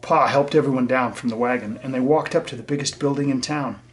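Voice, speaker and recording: A man's voice: medium pitch, fast talker. A man reading a children's story aloud and talking to young listeners in a simple, explanatory way. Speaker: teacher reading a picture book aloud to children.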